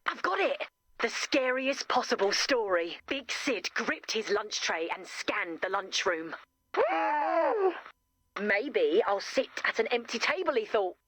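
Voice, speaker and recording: A woman's voice: medium pitch, lively intonation, slow pace, fairly bright tone, no room sound. A woman voicing a chipper camp counselor in a video game, with an upbeat, theatrical storytelling manner. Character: chipper, theatrical female camp counselor telling spooky stories. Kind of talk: video game character acting.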